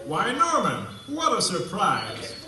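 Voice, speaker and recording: A man's voice: high-pitched, wildly swooping pitch, slow, a crowd in the background, exaggerated voice. A man playing a cheerful, folksy, jovial husband puppet in a comedy skit, with exaggerated reactions. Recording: echoey room, distant mic.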